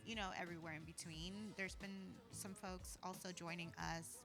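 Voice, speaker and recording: A woman's voice: on the low side, steady tone, normal speed, music in the background. A woman speaking earnestly as a community organizer and guest in a casual podcast conversation, often dropping in "you know" and "like".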